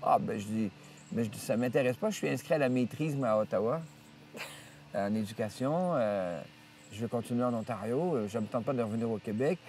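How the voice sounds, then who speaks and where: A man's voice: mid-range voice, a little fast, distant mic, slight background noise. Older man, reminiscing. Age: 70s. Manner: rambling, many hesitations and restarts, lively retelling of dialogue. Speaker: priest interviewed about his life. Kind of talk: storytelling interview. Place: outdoors in nature.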